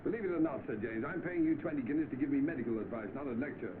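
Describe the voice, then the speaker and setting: Man playing a fast-talking spoiled millionaire, tinny old film. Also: mid-range voice, natural voice. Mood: peevish. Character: bored, spoiled, idle young millionaire. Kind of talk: 1930s film acting. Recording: thin, noisy vintage film soundtrack.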